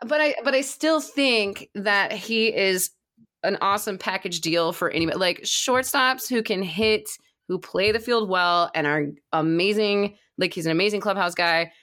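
A woman's voice: mid-range voice, normal speed, fairly bright tone. A woman chatting casually and enthusiastically as a co-host of a baseball fan podcast. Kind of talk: casual chat.

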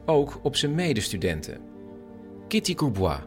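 Guy doing scripted radio doc narration, lively intonation, music under it. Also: mid-range voice, normal speed.

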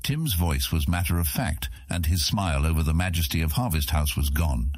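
An extremely deep-voiced man narrates a fantasy audiobook. His tone is husky and his delivery is dramatic, scripted storytelling. The recording is close and dry. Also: moderate pace, a little mellow, no room sound.

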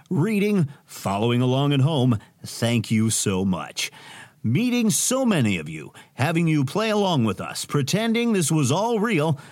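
Hammy, wildly expressive radio showman. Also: mid-range voice. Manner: melodramatic, grandiose. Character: theatrical, self-important radio host actor, hammy and florid. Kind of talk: audio drama acting, radio showman patter.

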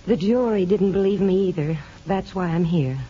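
A woman's voice: lowish voice, normal speed, warm tone, slightly rough. An actress playing an earnest young woman in a restrained, plain-spoken 1950s radio drama style. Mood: guarded. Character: earnest young woman, former prisoner working as nurse's aide. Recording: dull, hissy 1950s radio broadcast.

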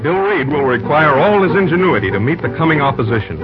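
Male vintage radio announcer, dramatic episode tag, slightly high voice over loud music. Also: sing-song, fast talker. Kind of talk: dramatic old-time radio serial announcing. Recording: dull old radio recording.

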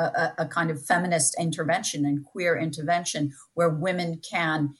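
A woman speaking as an interviewed guest, enthusiastic and thoughtful, often halting and restarting as she explains. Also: sits low, breathy edge. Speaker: interviewed guest, scholar and book editor. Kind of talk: spoken interview discussion.

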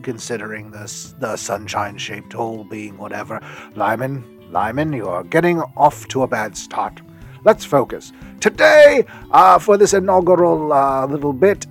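A man playing an eccentric, rambling podcast host who interrupts himself while chattily making recommendations. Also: on the high side. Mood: rambling, sheepish. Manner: rambling, self-interrupting, swinging from muttering to hearty. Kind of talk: chatty promotional monologue.

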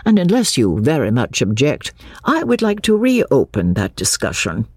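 A woman's voice: a bass voice, wildly swooping pitch, darkish tone, slightly rough, dry recording, clean studio sound, full character voice. A woman reading a storybook as a courteous, earnest and puzzled clergyman who speaks hesitantly. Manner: hesitant, halting, deliberate.

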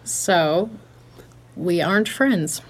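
A woman's voice: lowish voice, drawn-out words. A woman acting a brash, foul-mouthed, blunt but caring young woman in a naturalistic audio drama. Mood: guarded, gruff concern. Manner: blunt, slangy, sweary.

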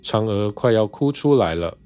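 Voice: mid-range voice, lively intonation, normal speed, a little mellow, clear, resonant tone. A storyteller narrating a scripted children's tale in simple storybook phrasing.

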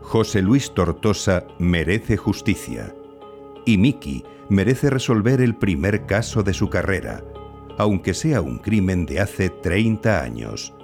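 A man with a fairly low voice hosts an investigative podcast. He reads scripted true-crime narration over faint music. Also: sing-song, takes it slowly, creaky, darkish tone.

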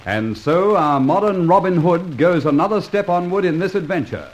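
An actor as an earnest announcer narrating the close of an old radio serial episode. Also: male voice, high-pitched, animated, moderate pace. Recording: noisy old radio transcription.

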